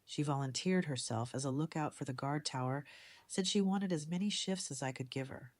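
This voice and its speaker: A woman playing a composed, decisive community leader in an audio drama, speaking in a measured, diplomatic way. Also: low voice, darkish tone, lightly acted. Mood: firm, businesslike. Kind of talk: fan audio drama dialogue.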